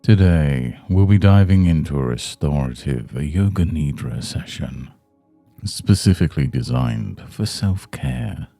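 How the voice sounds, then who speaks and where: A man leading a guided meditation in a soothing, measured, hushed manner. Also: very deep voice, lively intonation, vocal fry, no room sound, soft background music. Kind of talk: guided Yoga Nidra meditation.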